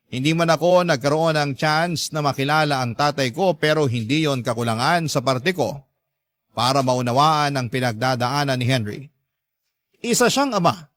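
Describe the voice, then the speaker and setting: A man's voice: fairly high pitch, fairly bright tone, dry recording. Dry, close-miked male story narrator. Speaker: radio drama narrator and host. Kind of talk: first-person scripted story narration.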